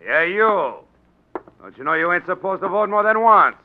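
An actor playing a tough, bullying big-city political boss in 1940s radio style, gruff and sardonic, talking in gangster slang. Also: male voice, sits high, animated, moderate pace, slight background noise. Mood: mocking, amused. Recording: dull 1940s radio recording.

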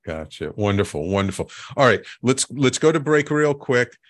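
A man's voice: mid-range voice, big pitch swings, normal speed, no echo, clean studio sound. A man hosting a radio talk show, chatting with a guest in an eager, stammering way, often repeating his words. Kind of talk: casual interview chat.

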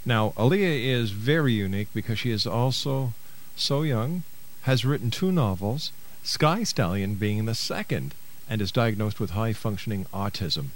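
A man with a low-pitched voice hosts a radio talk show. He speaks as an interviewer and announcer, addressing both guest and listeners. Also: lively intonation, normal speed, rough recording. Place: radio studio.

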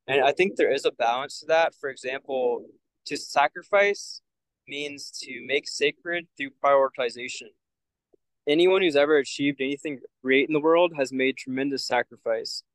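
A man's voice: mid-range voice, no echo, low-quality recording. A young man as a podcast guest, talking in a thoughtful, searching way with many "like" fillers. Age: young adult. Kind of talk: reflective spiritual conversation.

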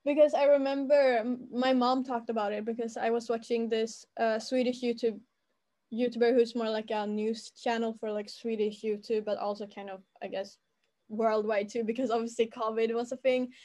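A woman's voice: fairly high pitch, little pitch movement, moderate pace, warm tone. A woman telling a rambling story in casual chat, full of fillers and restarts. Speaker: student recounting school life.

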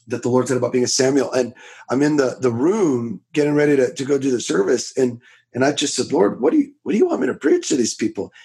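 A man in his thirties from Florida, a preacher telling his story in a casual interview, with a raspy voice, talking loud and fast with many restarts. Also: mid-range voice. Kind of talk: casual testimony in a podcast interview.

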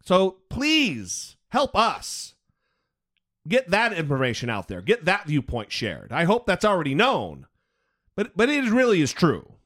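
A man's voice: high-pitched, huge pitch swings, unhurried, fairly bright tone. An American man co-hosting a podcast in casual political chat, speaking emphatically, repeating words and swearing freely. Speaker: podcast co-host.

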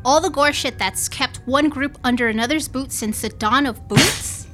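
A defiant, cynical young woman. Her voice is high and forceful, and she delivers everything with combative sarcasm in an audio drama. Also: normal speed, faint music behind. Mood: angry. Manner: sardonic, combative.

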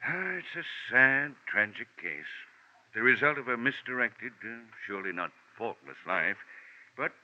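A man's voice: medium pitch, lively intonation, measured pace, close to an everyday voice. A male actor plays a stuffy, blustering older office boss in vintage radio drama. His intonation swings widely as he fusses and repeats himself. The recording is old and muffled. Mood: earnest. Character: fussy, pompous older insurance general manager. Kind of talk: 1940s radio drama acting.

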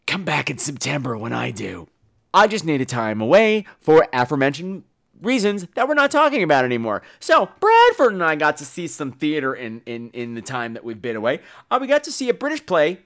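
A man chats as a podcast host in a fairly high voice that swings widely in pitch. His delivery is campy and theatrical, stretching words out for emphasis. The style stays casual and conversational. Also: a bit bright. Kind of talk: casual chatty podcast monologue.

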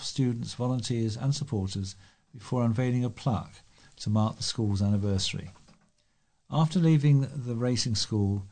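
A man's voice: medium pitch, mellow. A man reading local newspaper articles aloud as a volunteer news reader, with the occasional stumble.

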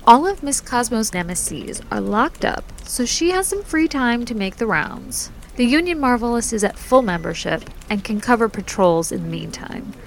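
A serious briefing officer, played by a woman in an audio drama, her voice gravelly and measured. Faint noise hums behind her. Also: fairly high pitch, very animated. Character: serious woman giving hero intel briefings.

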